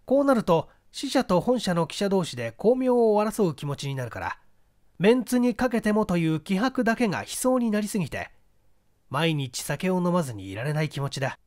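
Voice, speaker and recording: Male narrator for mystery audiobook, higher-pitched voice, animated intonation. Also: brisk. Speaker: audiobook reader, stage actor. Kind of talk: scripted literary narration of a mystery story.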